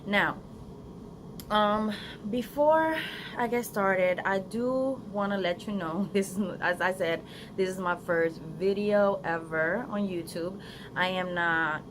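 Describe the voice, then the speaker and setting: A young woman with a Spanish accent, Spanish her first language, chatting earnestly in an unedited phone vlog outdoors, with many ums and restarts. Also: fairly low pitch. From Florida, United States. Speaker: vlogger. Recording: phone recording outdoors with clear background noise.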